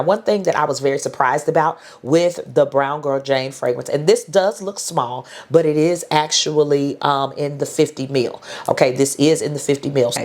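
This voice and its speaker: A middle-aged woman with a stuffy, congested nose, chatting through a fragrance haul review with lots of ums and restarts. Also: deep voice. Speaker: beauty and fragrance vlogger. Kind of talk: casual haul review to camera.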